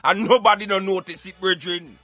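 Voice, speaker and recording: A man's voice: high voice, fairly even intonation, a little fast, sharp tone, soft background music. A man with a Jamaican accent hosting a talk show in Patois, giving emphatic, repetitive commentary peppered with catchphrases. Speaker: radio show host.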